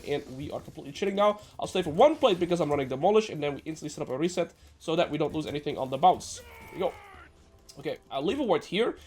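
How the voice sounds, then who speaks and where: A man from the Netherlands, sounding nasal and a little sick, giving brisk gameplay commentary as a coach with joking asides. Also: a little high. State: slightly sick. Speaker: game coach.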